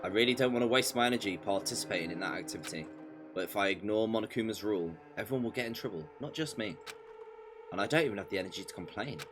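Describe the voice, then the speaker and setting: A man's voice: fairly low pitch, fast. A man live-streaming a visual novel, reading dialogue aloud dramatically and breaking in with incredulous wisecracks. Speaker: game streamer. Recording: game music behind voice. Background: game music.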